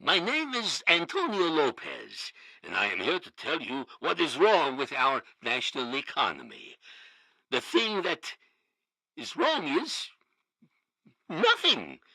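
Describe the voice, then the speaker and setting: Wildly animated, over-loyal male citizen. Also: fairly high pitch, takes it slowly, no echo, full character voice, rough recording. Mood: nervously loyal. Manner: emphatic, theatrical. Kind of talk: comedic video game character acting.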